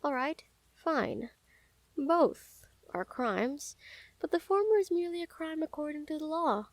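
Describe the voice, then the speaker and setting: A woman playing a calm, menacing yet smitten assassin in an intimate audio roleplay, speaking softly and teasingly with deliberate pauses. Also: high voice, no room sound. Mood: smitten, confiding. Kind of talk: intimate scripted audio roleplay addressed to the listener.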